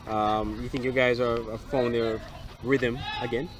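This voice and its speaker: A man's voice: mid-range voice, level tone, measured pace, background noise. A man working as a sports reporter, asking informal interview questions in a hesitant, rephrasing way.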